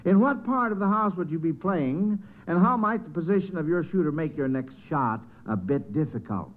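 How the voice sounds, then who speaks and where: Folksy male quiz host for vintage radio, encouraging, highish voice, phone-like sound. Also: expressive, normal speed. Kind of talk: old-time radio quiz hosting.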